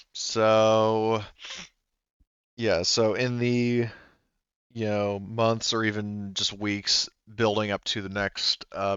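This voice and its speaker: A man running a tabletop game, explaining rules in a relaxed, easygoing way. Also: fairly low pitch, smooth, no room sound. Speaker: game master. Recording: dull lo-fi mic.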